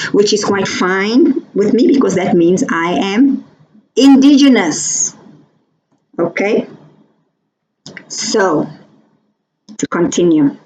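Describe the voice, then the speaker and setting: A South African woman, a native Afrikaans speaker, talking casually to her viewers with pauses and asides. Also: medium pitch, darkish tone, a little hiss or hum, rough recording. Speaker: vlogger. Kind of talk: casual commentary to camera.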